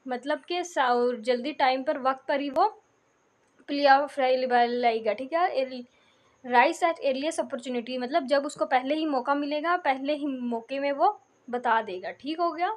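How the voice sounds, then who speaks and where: A woman with a distinctly high voice and a Pakistani accent teaches a lesson. She reads out English phrases slowly and carefully, then explains them more quickly, often rounding off a point with a brief check that it is understood. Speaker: law lecturer. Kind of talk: instructional lecture, explaining and reading out notes.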